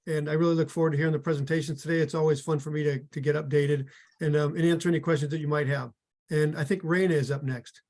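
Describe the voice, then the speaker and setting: Man, fast, flat tone, higher voice, video-call intro. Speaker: regional wildlife manager.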